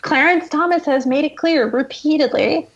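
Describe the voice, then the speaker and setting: A woman's voice: sits high, moderate pace, lo-fi sound. A woman guest journalist giving conversational, analytical political commentary in an explanatory way.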